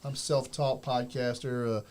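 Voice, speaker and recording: Halting, filler-heavy podcast host man. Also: mid-range voice, little pitch movement, a little mellow. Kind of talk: casual podcast interview.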